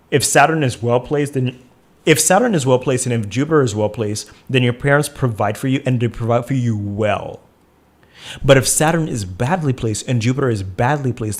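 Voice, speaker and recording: Calm soothing man answering questions. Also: medium pitch, normal speed. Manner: calm, soothing delivery, thinking aloud with repetitions. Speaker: astrologer hosting a live Q&A. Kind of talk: casual livestream answering viewer questions.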